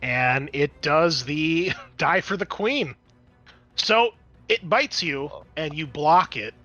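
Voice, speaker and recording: Bright-voiced man running a tabletop game. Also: mid-range voice. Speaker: game master. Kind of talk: narrating and refereeing a tabletop roleplaying game.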